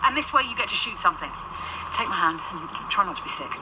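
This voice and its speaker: A woman's voice: mid-range voice, animated, fast, background noise, natural voice. An actor, a woman, playing a brusque, irreverent time traveller in a radio drama, with a dry, blunt delivery. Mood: urgent, decisive.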